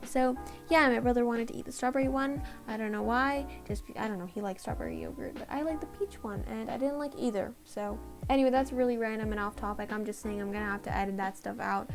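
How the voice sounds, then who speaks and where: Tired, slightly raspy young woman rambling. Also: a little high, quick pace, few pauses. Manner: rambling, apologetic, self-deprecating. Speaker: art channel host. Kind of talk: casual voiceover over a speedpaint. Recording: voiceover over loud background music. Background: background music.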